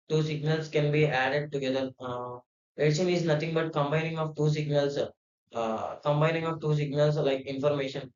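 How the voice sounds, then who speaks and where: Hesitant man in a roomy, dull recording. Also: fairly high pitch. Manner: hesitant, many fillers and restarts. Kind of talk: unscripted explanatory talk.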